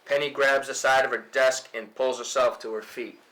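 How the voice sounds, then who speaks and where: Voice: mid-range voice, steady tone, normal speed, sharp tone, low-quality recording. A reader gives scripted stage directions at a table read. The delivery is screenplay narration, describing action between lines of dialogue.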